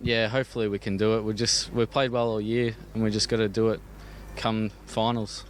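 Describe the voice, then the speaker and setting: A man's voice: on the low side, level tone, fairly quick, a little hiss or hum. A young man with an Australian accent giving brief, casual interview answers as a sportsman. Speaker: lawn bowls player being interviewed.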